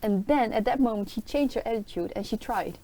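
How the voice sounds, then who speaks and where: A woman's voice: fairly high pitch, brisk, mellow, poor audio. A young woman student teacher talking casually about her internship, filling her speech with "like". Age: young adult. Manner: chatty, leans on "like". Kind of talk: casual discussion.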